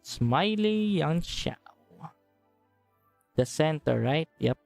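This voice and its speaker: A man's voice: fairly high pitch, animated, drawn-out words, gravelly. A man who streams games, giving casual live commentary as he plays. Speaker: game streamer. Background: game music.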